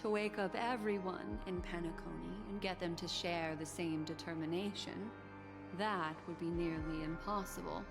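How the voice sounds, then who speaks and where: A woman playing a poised, enigmatic keeper of memories in a video game, elegant and deliberate. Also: lowish voice, fairly even intonation, creaky, over music. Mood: composed, grave. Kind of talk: video game character acting.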